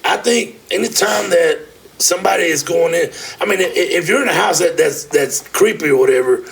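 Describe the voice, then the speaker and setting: A man's voice: high voice, a little fast, vocal fry, fairly bright tone. A middle-aged man co-hosting a casual podcast, rambling and repeating himself, often saying "dog". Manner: rambling, repeats words, says "dog" a lot. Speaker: podcast co-host, the uncle. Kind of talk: casual chat. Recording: noisy home recording.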